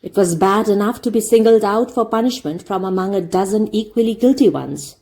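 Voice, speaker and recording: A woman reading a classic story aloud as an expressive audiobook narrator. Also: medium pitch, a little fast.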